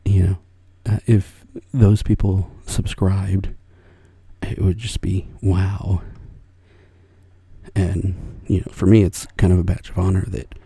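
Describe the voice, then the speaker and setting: An older man chatting softly in a whisper as an ASMR artist, rambling and soft-spoken. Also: deep voice, flat delivery, slow pace, gravelly, husky, no echo.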